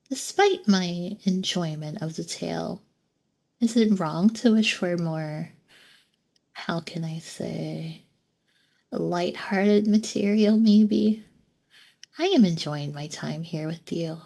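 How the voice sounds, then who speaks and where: A woman voicing a gentle, curious female android in an ASMR roleplay, soft-spoken and formal, with hesitant pauses. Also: fairly low pitch, gravelly, heavily acted. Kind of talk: ASMR roleplay, scripted monologue.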